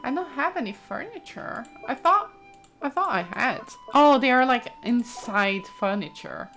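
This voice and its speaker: A woman's voice: on the high side, very animated, measured pace, a bit bright, rough recording. Chatty woman commentating a game over music. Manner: chatty, exclaiming, informal. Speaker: gaming streamer, let's play host. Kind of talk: casual let's play commentary, thinking aloud while exploring a game.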